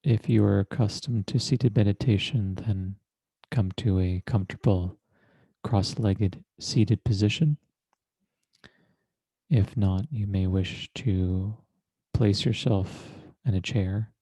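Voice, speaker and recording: A man leading a guided meditation as a teacher, speaking in a deliberate, measured way with long pauses and formal, precise wording. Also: lowish voice, dark tone, husky, no room sound.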